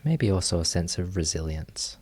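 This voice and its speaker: A man leading a guided meditation as a teacher, speaking in a gentle, unhurried manner with long pauses. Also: deep voice, lively intonation, slight background noise.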